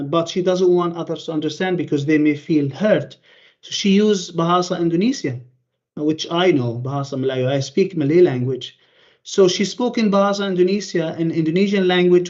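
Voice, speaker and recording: Guy presenting at a conference over a call, higher voice, lots of uhs and restarts, muffled dull audio. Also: darkish tone. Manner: halting, many fillers and restarts.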